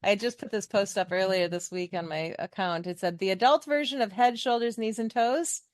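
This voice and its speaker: A woman's voice: mid-range voice, normal speed, dry recording. A middle-aged American woman hosting a podcast in a casual, chatty, joking way. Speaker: podcast host.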